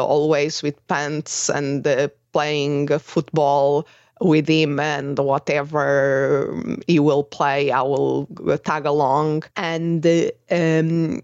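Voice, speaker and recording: A woman's voice: sits low, a little mellow, no room sound, highs cut off. Portuguese-accented woman hosting a podcast. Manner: hesitant, many fillers and restarts. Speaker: podcast host. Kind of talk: casual conversation.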